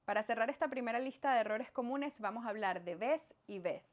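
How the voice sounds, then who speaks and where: A Venezuelan woman with a fairly high voice teaches in a clear, steady lesson. She explains each point plainly and talks straight to the viewer. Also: fairly quick. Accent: Venezuelan Spanish. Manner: clear, didactic, addressing the viewer directly. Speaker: language teacher.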